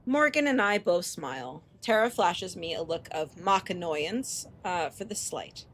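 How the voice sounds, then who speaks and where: A woman streamer reading a visual novel aloud and commenting casually, animated, expressive and free with swearing. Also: on the low side, unhurried. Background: game music.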